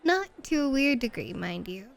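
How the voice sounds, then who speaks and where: A woman playing a flirty, teasing bunny-club hostess in an ASMR roleplay, with coy, drawn-out phrasing. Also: on the high side, big pitch swings, vocal fry. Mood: flirty, warm.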